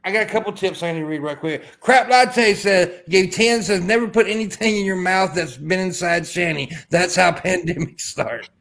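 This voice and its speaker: A man with a raspy voice and an American accent, bantering casually and profanely as a livestream host. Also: high-pitched, normal speed, few pauses, a bit bright.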